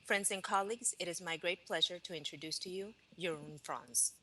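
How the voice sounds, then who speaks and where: A woman's voice: fairly low pitch, a little fast, bright, forceful tone, low-quality recording. A woman hosting a conference, reading a formal scripted introduction of the keynote speaker. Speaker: conference host.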